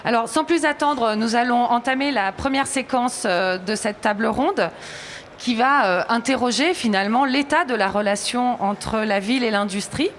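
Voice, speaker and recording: A woman's voice: on the high side, moderate pace, slight background noise. A woman moderating a conference round table, introducing guests and asking questions with frequent hesitations. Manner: hesitant, many fillers. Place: conference hall.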